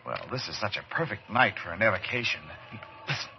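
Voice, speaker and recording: A man playing an obsessive, excitable ghost-hunting doctor in a radio horror drama, speaking in a theatrical, halting, fervent way. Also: fairly low pitch. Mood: scheming persuasion. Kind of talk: old time radio horror acting. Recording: dull, hissy old radio recording.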